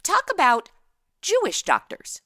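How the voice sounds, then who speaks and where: A woman's voice: extremely high pitch, wildly swooping pitch, unhurried, pushed and bright, no room sound, clean studio sound. A woman hosting a podcast in a breezy, slangy, casual interview style. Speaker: podcast host.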